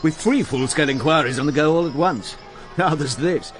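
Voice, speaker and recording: An actor playing a wry, informal police superintendent in a radio drama, with a gossipy manner. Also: male voice, a little high, fairly quick, soft background music, natural voice. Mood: genial.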